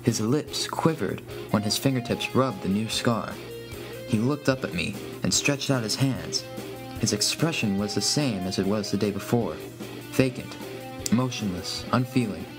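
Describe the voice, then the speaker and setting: Guy narrating a horror story in first person, lowish voice, music going behind him. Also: measured pace, low-quality recording.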